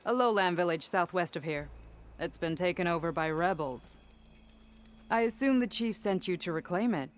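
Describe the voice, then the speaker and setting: Woman playing a low-voiced, confident female squad leader. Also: animated, normal speed, close to an everyday voice. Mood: assured. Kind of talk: video game cutscene acting.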